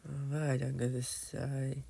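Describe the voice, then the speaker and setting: A man's voice: mid-range voice, level tone, slow, creaky, dark tone, slight background noise. A man giving casual, rambling commentary on his game, often losing his thread and calling out to viewers as "guys". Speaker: gamer narrating his play.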